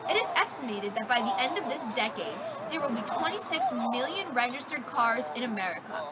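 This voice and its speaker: A young woman playing a chipper male car salesman in an amateur skit sales pitch. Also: sing-song, fairly quick, over a noisy crowd. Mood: upbeat pitch. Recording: echoey room, faint noise.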